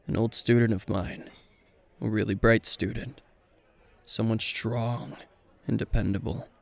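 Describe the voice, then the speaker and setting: Guy doing a tired gruff hero teacher, low gravelly voice, guarded and dry, intimate asmr roleplay. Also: level tone, unhurried. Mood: downcast, brooding. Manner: terse, guarded, dry, sighing. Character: weary, gruff male veteran hero-teacher drinking at a bar.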